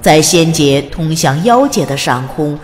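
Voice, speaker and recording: A woman's voice: deep voice, animated, a little slow. A woman reading scripted narration of a fantasy audiobook in a dramatic storytelling style. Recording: long hall reverb.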